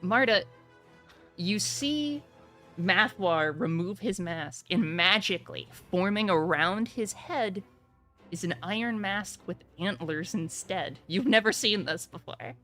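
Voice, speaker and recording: A woman's voice: medium pitch, expressive, slow, fairly bright tone, faint music behind. A woman running a tabletop roleplaying game, narrating and giving rulings in casual talk. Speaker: game master.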